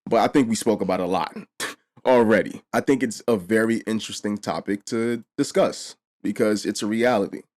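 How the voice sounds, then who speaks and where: A man's voice: fairly low pitch, moderate pace. Blunt, profane male talk host. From Mexico. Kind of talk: casual discussion.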